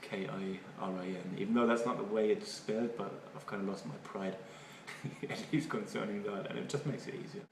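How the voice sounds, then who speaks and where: Distant noisy recording, man, German accent, native German speaker, halting and thoughtful, interview answers. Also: fairly low pitch, fairly even intonation. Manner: halting, thoughtful, repeats words. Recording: echoey room, far from mic, noisy.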